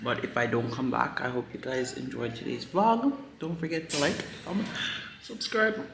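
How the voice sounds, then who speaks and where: A Jamaican man chatting casually to camera in his home vlog, hoarse as he loses his voice. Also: medium pitch, sing-song, moderate pace. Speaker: vlogger. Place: family home in the countryside. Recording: echoey room with background noise.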